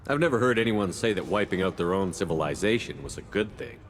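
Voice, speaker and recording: A man playing a direct, even-tempered military commander in a video game, asking plain questions. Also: deep voice, fast, a little hiss or hum. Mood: curious, direct.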